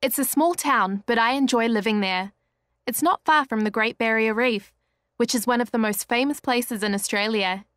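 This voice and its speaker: A woman's voice: on the high side, normal speed, fairly bright tone, clean tone, dry recording, clean studio sound, natural voice. Friendly small-town Australian woman, acted by a woman. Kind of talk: scripted small talk for an English listening exercise.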